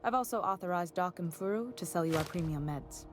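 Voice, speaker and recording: An actress playing a weary, exacting middle-aged chief engineer of a space station in a video game, composed, dry and formal. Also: female voice, lowish voice, lively intonation, a little fast, music in the background, lightly acted. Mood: relieved. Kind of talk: video game dialogue acting.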